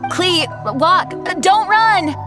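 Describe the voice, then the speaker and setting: A woman's voice: very high-pitched, extremely slow, loud music behind the voice, heavily acted. A woman voicing a responsible, caring young knight commander in a video game, composed and motherly. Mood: concerned scolding. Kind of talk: animated video game voice acting.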